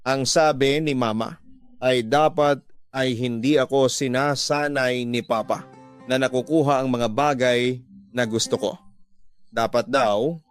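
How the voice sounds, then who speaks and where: A man with a Filipino accent giving scripted first-person narration for a radio drama. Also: medium pitch. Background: background music.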